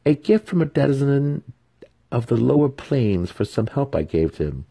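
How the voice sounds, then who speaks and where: A man voicing a sly, cruel young male dark elf apprentice, teasing and matter-of-fact about menace, in a fantasy storybook reading. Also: mid-range voice, big pitch swings, normal speed, vocal fry, voice pushed up. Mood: demanding, boastful.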